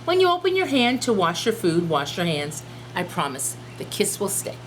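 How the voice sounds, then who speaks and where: A woman voicing a loving, reassuring mother raccoon in a storybook, with a gentle, soothing manner. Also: mid-range voice, wildly swooping pitch, background noise. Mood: tender, teasing. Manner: gentle, slow, soothing phrasing.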